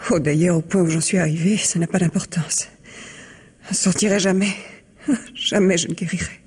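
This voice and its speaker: An actress playing a worn-out, bitter Broadway star in a radio mystery, speaking with weary fatalism. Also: female voice, lowish voice, steady tone, moderate pace, darkish tone, breathy edge. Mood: bitter, resigned. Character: exhausted, bitter drug-addicted Broadway starlet. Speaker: actress in a radio crime drama. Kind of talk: French radio mystery acting.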